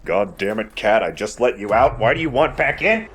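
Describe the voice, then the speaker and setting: Low-voiced man doing gaming commentary. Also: animated, moderate pace, a bit bright, breathy edge. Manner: profane, joking banter. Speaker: let's play host playing the game. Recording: game music behind. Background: video game music.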